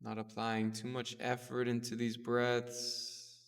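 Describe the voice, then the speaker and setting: A man leading a guided meditation with gentle, soothing, unhurried instruction. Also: medium pitch, completely flat delivery, clear, resonant tone. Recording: distant mic in a reverberant space.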